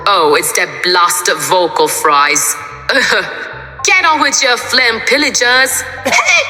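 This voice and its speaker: A theatrical, menacing pirate pony captain for a cartoon dub. The voice is fairly low and unhurried, drawling out its mock politeness. It sits in a roomy reverb with music behind. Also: female voice, sharp tone. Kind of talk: cartoon comic dub acting.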